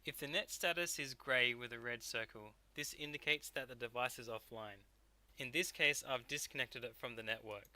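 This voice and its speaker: A man narrating a software tutorial step by step as a technical instructor. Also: medium pitch, normal speed, no echo.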